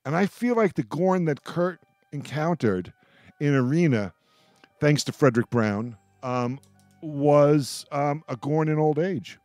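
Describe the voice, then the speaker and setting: A man hosting a radio roundtable, talking in a casual, rambling fan-discussion style and often halting to repeat his words. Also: fairly high pitch, lively intonation, a little mellow, no echo, faint music behind.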